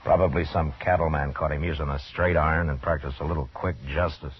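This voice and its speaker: An actor playing a steady, wary frontier lawman in a 1950s radio Western, speaking in a laconic, matter-of-fact way. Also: very deep voice, brisk, husky. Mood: grim, measured. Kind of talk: 1950s radio drama acting and first-person narration. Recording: dull, noisy old radio recording.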